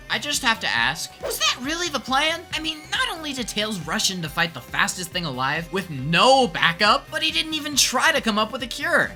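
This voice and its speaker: A woman's voice: a little high, pushed and bright. A woman in her twenties doing comedic scripted narration in a sarcastic, dramatic way with exaggerated emphasis. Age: 20s. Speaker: YouTube commentary host. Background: background music.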